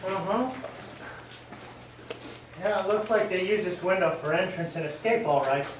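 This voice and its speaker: A man's voice: high-pitched, moderate pace, lightly acted. Man acting methodical police detective in echoey room. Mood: businesslike. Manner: methodical, official questioning. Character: businesslike police detective questioning a couple at night. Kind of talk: old-time radio mystery acting. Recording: roomy, distant stage recording, faint noise.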